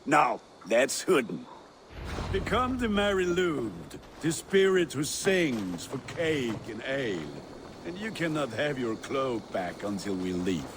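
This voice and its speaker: A hearty, proud Viking in a video game. His mid-pitched voice moves around a lot, full of banter and swagger. There is faint ambient noise behind. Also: sing-song, slow. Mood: teasing. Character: hearty Viking man, teasing friend with fierce pride. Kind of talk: video game dialogue acting.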